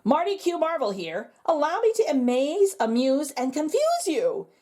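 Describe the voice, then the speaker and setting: A clumsy male stage magician from a storybook, showy and flustered, his voice jumping high and bright, as a woman reads him aloud. He squeaks up and down with lively swoops. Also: normal speed.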